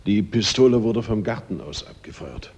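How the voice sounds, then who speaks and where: A man's voice: fairly low pitch, expressive, moderate pace, a little mellow, a little hiss or hum, lightly acted. A man playing a stern, skeptical police inspector in a German radio drama, questioning in a terse, official way. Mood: stern, probing.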